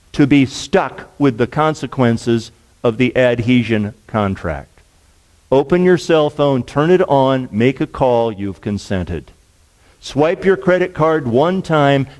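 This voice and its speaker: A middle-aged man from Nebraska, a lawyer, giving a measured, earnest public lecture at a university, his voice sinking to a hush at the end of each point. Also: medium pitch. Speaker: lawyer and speaker.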